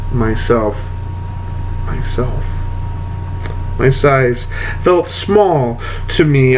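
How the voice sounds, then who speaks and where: A man reading old letters aloud to an audience in a measured, deliberate way, with long pauses. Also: medium pitch, a little hiss or hum, poor audio. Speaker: reader of letters.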